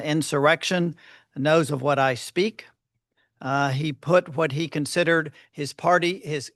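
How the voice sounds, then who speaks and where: A soft-spoken man giving a measured, formal introduction at a luncheon podium, with frequent fillers. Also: a little high, no echo, studio quality. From Fort Worth, Texas. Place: luncheon hall.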